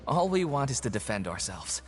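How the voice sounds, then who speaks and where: A man's voice: medium pitch, sing-song, normal speed, lightly acted. An actor playing an earnest prisoner recruiting for his group in a video game. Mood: earnest, friendly.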